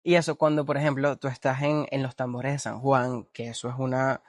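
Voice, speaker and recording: A man's voice: mid-range voice, flat delivery, brisk, no echo, studio quality. A man hosting an interview, enthusiastic and full of restarts. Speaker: interviewer, host. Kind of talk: interview conversation.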